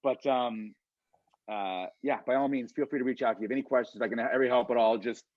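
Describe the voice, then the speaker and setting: A man's voice: medium pitch, fast. A man speaking as a guest on a video call, earnest and encouraging, with lots of fillers. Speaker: guest speaker, college instructor. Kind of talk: casual video-call talk. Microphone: computer mic on a video call. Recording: dry, dull lo-fi video call audio.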